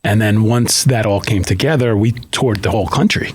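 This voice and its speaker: A middle-aged man with a husky, fairly low voice speaks as a podcast guest. He talks in an easygoing, hesitant way, and the sound is dry. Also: normal speed, no room sound. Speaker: film producer, guest. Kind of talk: casual interview conversation.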